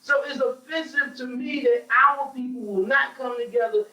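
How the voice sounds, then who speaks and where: A man's voice: very high voice, normal speed, bright, forceful tone, highs cut off. An American man in an opinionated group discussion, speaking emphatically like a preacher and repeating phrases to drive his points home. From United States. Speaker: panel speaker on a religious talk show. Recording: roomy echo, distant microphone.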